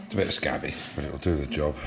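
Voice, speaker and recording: A man's voice: very deep voice, steady tone, quick pace, gravelly, reverberant, over music. An English man hosting a casual car restoration vlog, rambling through his workshop with restarts and fillers. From England. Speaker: classic car restorer and channel host. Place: garage workshop.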